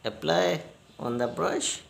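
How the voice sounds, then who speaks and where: A man giving a hands-on repair demonstration in halting, non-native English, step by step. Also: a little high, vocal fry, roomy sound. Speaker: repair technician giving a tutorial.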